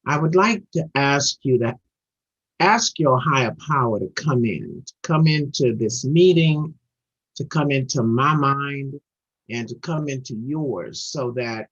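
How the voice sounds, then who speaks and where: A middle-aged woman shares her recovery story in a husky, earnest voice. She circles back on her words as she builds up speed. It comes through dull, lo-fi video call audio. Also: extremely low pitch, lively intonation, a little slow. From Trinidad and Tobago. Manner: earnest, repeats words while building momentum. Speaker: guest speaker at a recovery meeting.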